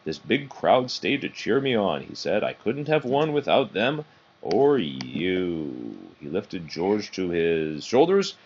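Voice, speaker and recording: A man reads a picture book aloud for children in a fairly low voice. His delivery is expressive and swings widely in pitch, and the recording is dull and muffled. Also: slow, a little hiss or hum. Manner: expressive, animated storytelling. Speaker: storybook reader.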